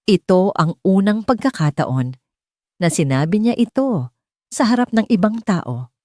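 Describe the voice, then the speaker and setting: A woman's voice: fairly low pitch, warm tone, dry recording, studio quality. A woman reading a scripted dramatic story as a storyteller.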